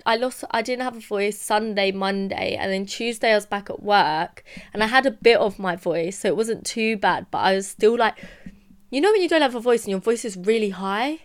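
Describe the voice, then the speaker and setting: A young woman, a podcast co-host, chatting casually and telling a story with lots of "like" fillers. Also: on the high side. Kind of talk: casual chat.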